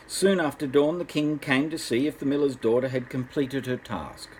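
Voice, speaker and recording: An older man, a grandfather, narrating a children's bedtime story in an unhurried, expressive way. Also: mid-range voice, slight background noise, low-quality recording.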